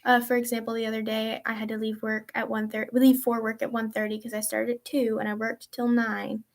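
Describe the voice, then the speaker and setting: A woman's voice: a little high, little pitch movement, a little fast, vocal fry. A teenage girl in a casual vlog, answering viewer questions in a chatty, rambling way with lots of ums and likes. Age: teens. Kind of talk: casual chat.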